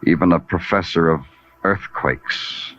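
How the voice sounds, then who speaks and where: An actor playing a world-weary, hard-boiled New York police detective in a 1940s radio noir, speaking in a deliberate, brooding, poetic way. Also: male voice, sits low. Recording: dull old radio recording, loud music.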